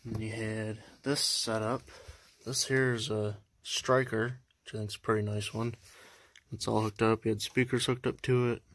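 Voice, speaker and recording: A young man chatting casually in a vlog, halting and full of fillers, filming indoors at a house. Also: lowish voice, little pitch movement, a bit bright. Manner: halting, many fillers, unsure. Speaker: vlogger. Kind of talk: casual show-and-tell vlog.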